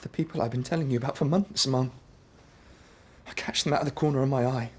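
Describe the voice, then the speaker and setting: A man's voice: medium pitch, fast, slightly rough, close to an everyday voice. A man, playing a troubled, anxious and paranoid young man in a radio drama. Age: 20s. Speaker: young man with schizophrenia, narrator of his story.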